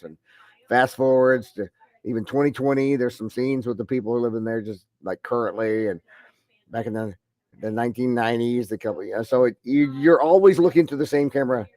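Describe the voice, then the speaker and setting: A man's voice: medium pitch, a little mellow, no echo. A middle-aged man with a head cold teaching an online math class, rambling with many fillers and restarts. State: head cold, deep congested voice.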